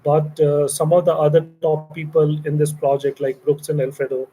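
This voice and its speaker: A man's voice: fairly high pitch, flat delivery, normal speed, warm tone, poor audio. A middle-aged man from India with an Indian English accent, a company founder giving a meandering orientation talk to interns with frequent fillers.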